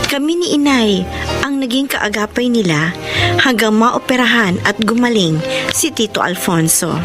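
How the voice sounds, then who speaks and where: A woman's voice: medium pitch, close to an everyday voice. An actress playing an earnest, proud young woman in a radio drama, with a Filipino accent and emphatic, heartfelt delivery. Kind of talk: Tagalog radio drama acting and narration. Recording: music behind the voice.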